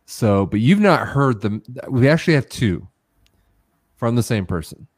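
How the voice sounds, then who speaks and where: A man's voice: mid-range voice, sing-song, moderate pace, no room sound, clean studio sound. A middle-aged man co-hosting a casual podcast, bantering in a wry, self-mocking way. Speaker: podcast co-host. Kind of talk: casual chat.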